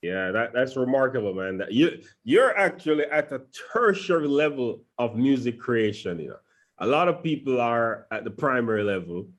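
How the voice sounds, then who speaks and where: A man's voice: mid-range voice, sing-song. Upbeat male interview host. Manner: enthusiastic, laughs easily, quick bursts of talk. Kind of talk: casual interview chat.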